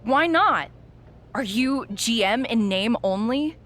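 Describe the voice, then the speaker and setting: A woman's voice: on the high side, expressive, slow, fairly bright tone. An actress playing a scrappy, persistent young woman wrestler, pointed and earnest, in a scripted video game cutscene. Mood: insistent, goading.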